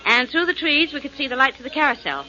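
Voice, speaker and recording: Bright-voiced detective's girl companion. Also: high-pitched, sing-song, fast talker, faint music behind, exaggerated voice. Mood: earnest. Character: chatty, loyal young female detective's companion. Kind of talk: 1940s radio detective drama acting. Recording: muffled 1940s radio broadcast.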